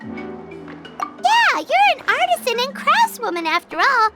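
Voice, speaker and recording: A tiny floating companion creature whose voice sits extremely high and swoops wildly from word to word. She is chirpy and excitable, and calls herself by name in the third person. This is polished video game voice acting. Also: slow pace, over loud music, heavily acted. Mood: curious.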